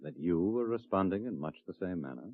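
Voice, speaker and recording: A man's voice: deep voice, animated, fairly quick. An actor playing a cold, controlling male state official, smug and coldly sarcastic, in a vintage radio drama. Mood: icy. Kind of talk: 1950s radio drama acting. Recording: dry, muffled vintage radio broadcast.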